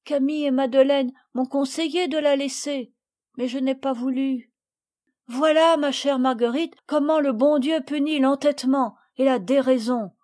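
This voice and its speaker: A woman's voice: sits high, steady tone, normal speed, darkish tone, pitched above the performer's own voice, lightly acted. Woman reading a moralizing mother. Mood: reproving. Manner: firm, reproving, then tender. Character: gentle, moralizing mother of a girl. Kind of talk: audiobook reading.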